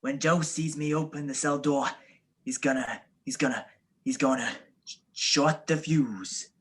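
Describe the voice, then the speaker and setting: Man playing a bright, sharp-voiced prison guard. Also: medium pitch, steady tone, a little slow, slightly rough. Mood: nervous, hushed. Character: gruff, anxious, bribed male prison guard. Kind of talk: radio play acting.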